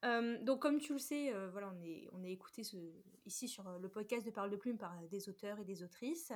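A woman's voice: medium pitch, brisk, a little mellow. A woman hosting a podcast, chatting in a friendly interview style.

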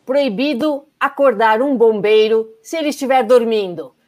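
A woman's voice: a little high, normal speed, a little mellow. A woman correspondent talking from her apartment over a video call, playful and teasing as she presents her segment. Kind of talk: casual chat presenting a segment. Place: home apartment room.

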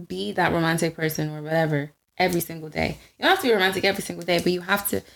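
Gravelly-voiced woman. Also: lowish voice, rapid-fire, a bit bright. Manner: emphatic, laughing, often quotes people. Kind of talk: casual podcast chat.

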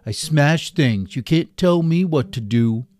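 A storyteller voicing a giant dim-witted brute who talks loud, slow and gloating. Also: male voice, on the high side, lively intonation, pitched above the performer's own voice.

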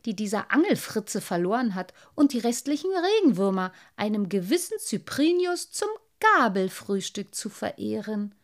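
A woman's voice: medium pitch, big pitch swings, normal speed, hardly any pauses. A woman voicing a merry, self-satisfied little water sprite boy in a storybook, bold and playful. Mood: determined. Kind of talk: storybook character voice.

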